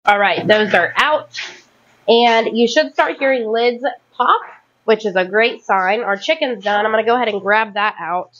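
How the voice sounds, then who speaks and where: A woman's voice: a little high, normal speed, fairly bright tone, muffled recording. A woman in her thirties chatting casually and rambling as she cooks in her home kitchen, correcting herself as she goes. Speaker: home cooking vlogger. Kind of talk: casual chat while cooking.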